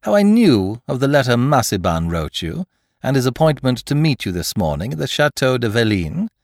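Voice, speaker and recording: A man reading aloud as a cocky, playful gentleman thief who teases and mocks in a theatrical way. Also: big pitch swings, moderate pace, no room sound, clean studio sound. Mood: mocking. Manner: teasing, theatrical swings in pitch. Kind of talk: audiobook character reading.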